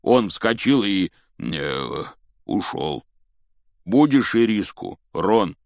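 A man voicing a big, good-natured giant groundskeeper for a storybook, with a gruff, folksy, blunt way of talking. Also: on the low side, very animated, drawn-out words, no echo, clean studio sound, heavily acted. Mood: teasing, jovial. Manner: hesitant, folksy, teasing, blunt.

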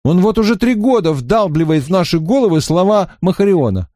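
An eager young wizard student, talkative and fervent, his voice lifted bright and high over a lively, swinging melody, as a male reader puts him on. The tone underneath stays mellow, and the recording is close and dry, with no room around it. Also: fairly quick, dry recording. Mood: musing. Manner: earnest, fervent, slightly mocking.

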